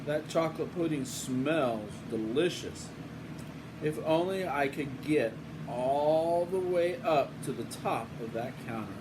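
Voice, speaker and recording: A man's voice: fairly high pitch, slow pace. An older man voicing a headstrong, greedy little girl in a storybook, with a blunt, exclaiming delivery. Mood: hungry, longing. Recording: home recording with steady room hum.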